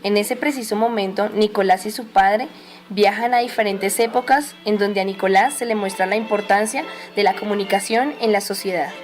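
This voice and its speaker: A woman's voice: medium pitch, moderate pace, faint music behind. A woman reading scripted narration for a radio drama.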